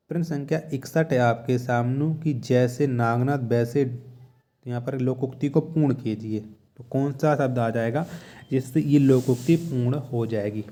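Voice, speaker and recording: A man's voice: medium pitch, dark tone. A man teaching an exam-prep quiz lesson in an explanatory, repetitive way, posing short check questions and repeating answers.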